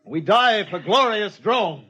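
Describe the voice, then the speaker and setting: An actor in a 1950s radio drama playing a scheming soldier, a quick-witted bluffer who talks with urgent, theatrical earnestness. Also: male voice, high-pitched, lively intonation, sharp tone, slightly rough, full character voice. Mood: mock-heroic. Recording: dull, lo-fi old radio recording.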